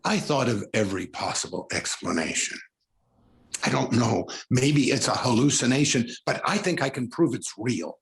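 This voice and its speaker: A male actor playing a tormented, unraveling concert pianist in a 1940s-style radio drama, stammering and halting in an overwrought way. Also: medium pitch, expressive, vocal fry. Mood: defensive.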